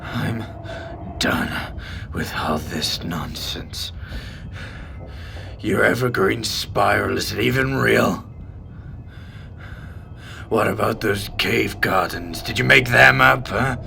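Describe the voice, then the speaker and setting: A man playing a desperate, defiant captive father in an audio drama, speaking in a strained, halting way. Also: lowish voice, pushed and bright, exaggerated voice. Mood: weary, defiant. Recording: clear background noise and ambience.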